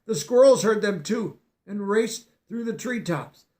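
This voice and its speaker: A man's voice: sits high, expressive, unhurried, a little mellow. A man reading a picture book aloud with expression, stopping now and then to explain words for young listeners. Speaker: storybook reader.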